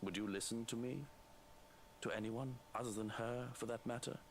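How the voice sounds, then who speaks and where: An actor playing a grave, restrained man in a visual novel, speaking in a measured, formal, reproachful way. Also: mid-range voice, steady tone, close to an everyday voice. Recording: game audio through stream, background noise.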